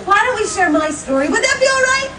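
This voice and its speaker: A woman's voice: very high-pitched, expressive, fast talker, very noisy. A young woman playing a sweet, gracious storybook heroine, telling a tale to children in a theatrical, gushing, encouraging way at a live show. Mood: gracious, cheerful. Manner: theatrical, gushing, encouraging, sing-song questioning. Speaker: theme park character storyteller. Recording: distant echoey live show, loud music and crowd. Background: loud music and audience of children.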